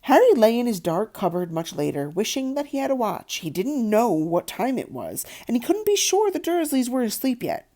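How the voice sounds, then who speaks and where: A woman reads a storybook aloud as narrator. Her voice is soft-edged and mellow, and her pitch moves around a lot as she tells it expressively. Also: very animated, moderate pace, few pauses. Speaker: audiobook narrator.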